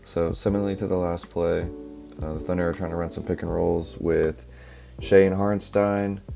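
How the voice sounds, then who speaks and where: A young man, a little hoarse from a cough, casually breaking down basketball plays with lots of fillers. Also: low voice, normal speed. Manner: relaxed, filler-heavy, explaining step by step. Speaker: basketball analysis creator. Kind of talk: casual play-by-play film breakdown. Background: background music.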